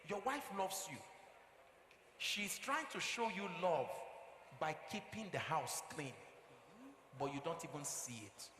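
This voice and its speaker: A man acts out a firm Nigerian marriage counsellor in a live stage play, his voice fairly high. He admonishes in a preachy, fatherly way, repeating himself for emphasis. The sound rings around a noisy, echoing hall. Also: very animated, unhurried, a bit bright. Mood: firm, encouraging. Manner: emphatic, preachy, with rhetorical questions and repetition. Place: theatre stage with live audience.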